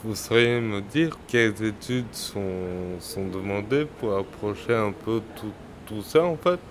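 A man's voice: fairly low pitch, measured pace, a little hiss or hum. A man interviewing a guide, asking questions with hesitations.

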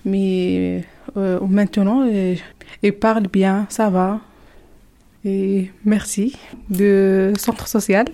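A young woman from Algeria, still learning the language, answers questions at a very slow pace. She speaks in short, simple phrases and pauses often to search for words. Her voice is mellow and soft-edged. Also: mid-range voice, little pitch movement. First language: not French. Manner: halting, simple French, many hesitations. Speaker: interviewee, French learner. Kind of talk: hesitant interview answers.

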